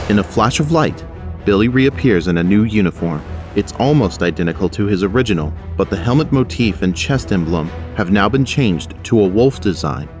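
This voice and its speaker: A man's voice: on the low side, animated, normal speed, soft background music. A man narrating an action audio drama from a script.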